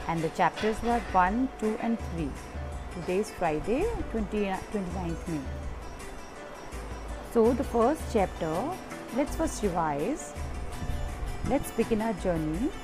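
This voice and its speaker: A woman's voice: mid-range voice, lively intonation, unhurried, pauses often, over music. A woman teaching young children in an online class. She reads from a textbook and explains it patiently, step by step.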